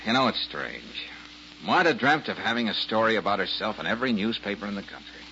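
A folksy wisecracking radio detective. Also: male voice, fairly low pitch, sing-song, bright, forceful tone, exaggerated voice. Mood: explaining. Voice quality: halting, folksy, full of uhs. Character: wisecracking male detective, easygoing, sharp. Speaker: detective lead in radio drama. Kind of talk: 1940s radio acting. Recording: dull lo-fi old radio broadcast with faint hiss.

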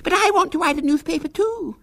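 A man voicing a shrill, lisping six-year-old girl, wilful and insistent, in a storybook reading. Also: vocal fry, bright, forceful tone, exaggerated voice. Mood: plaintive. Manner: plaintive, insistent, drawn-out. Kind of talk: audiobook character voice.

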